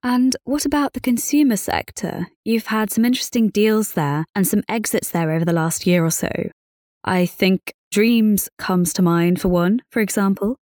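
A woman's voice: mid-range voice, normal speed, a little mellow, no echo, studio quality. A woman interviewing a guest about business, asking questions in a polite, conversational way.

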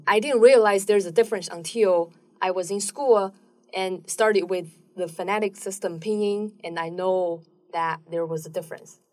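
A woman from Sichuan whose first language is Mandarin Chinese, speaking English with a Mandarin accent as an interview guest, relaxed and sharing personal experience. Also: mid-range voice, moderate pace. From Sichuan, China. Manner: relaxed, recounting experiences with fillers. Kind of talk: casual personal storytelling. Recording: distant mic in a room.

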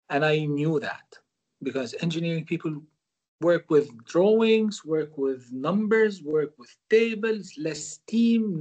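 Male guest, reflective storyteller, slightly raised pitch. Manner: reflective, repeats words for emphasis. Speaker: guest, former corporate manager. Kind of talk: storytelling interview answers.

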